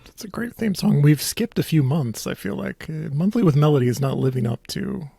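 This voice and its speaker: A man hosts a casual podcast in a husky, very creaky voice. His pitch sits a little high for a man, and the sound is dry and close. He talks in a halting, wry, conversational way. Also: animated, dark tone, no room sound, faint music behind. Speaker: podcast host.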